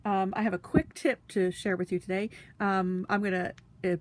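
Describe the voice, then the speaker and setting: A woman's voice: medium pitch, fairly even intonation, moderate pace. Sniffly woman chatting through a craft demo. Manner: chatty, rambling with fillers; talks while handling tools. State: congested, sniffly. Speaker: crafting demonstrator. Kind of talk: casual tutorial demonstration.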